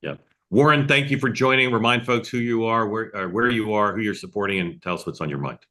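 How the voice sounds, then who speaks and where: Male moderator, low bright voice, quick and direct, keeps a political panel moving. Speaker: host and moderator. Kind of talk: political discussion on a group video call.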